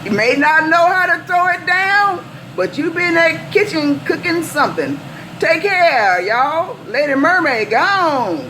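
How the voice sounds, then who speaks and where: A woman's voice: very high voice, sing-song, unhurried, bright, forceful tone. An American woman working as a CB radio operator, bantering in folksy slang and repeating calls, handles and sign-offs. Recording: noisy live CB radio setup.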